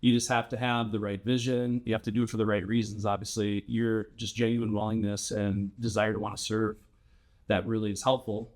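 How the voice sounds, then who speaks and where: A man's voice: on the low side, level tone, fairly quick, darkish tone. A man hosting an interview podcast, talking in an upbeat, effusive way and heaping praise on his guest. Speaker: podcast host. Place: studio in Madison, Wisconsin.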